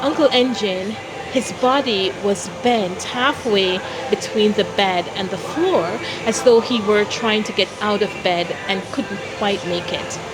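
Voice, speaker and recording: A woman from Jamaica telling personal stories as an interview guest, in a deliberate, expressive way. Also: medium pitch, poor audio. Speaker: interview guest, founder of a philanthropy organization.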